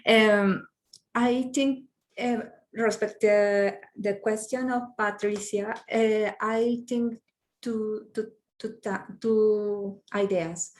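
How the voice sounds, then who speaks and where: A Colombian woman whose first language is Spanish speaks in hesitant English with a Colombian Spanish accent. She talks very slowly, stopping to search for words and filling gaps with "uh" and "um." Her pitch sits at an ordinary middle level. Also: steady tone. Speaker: workshop participant. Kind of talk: halting discussion contribution.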